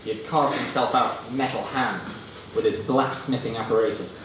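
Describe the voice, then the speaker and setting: Deadpan man doing stand-up in an echoey room. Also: medium pitch, normal speed, far from the mic. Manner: hesitant, self-deprecating between readings. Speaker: stand-up comedian reading own mock novels. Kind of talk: stand-up comedy, deadpan reading of parody prose. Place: student union comedy stand-up event, indoors. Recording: roomy, noisy live room recording from afar. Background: audience laughter, room noise.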